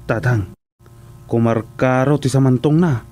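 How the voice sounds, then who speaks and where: A man playing an excitable, fretful village sidekick in a comedic radio drama. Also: mid-range voice, measured pace, soft background music, close to an everyday voice. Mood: alarmed. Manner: exclaiming, fretful.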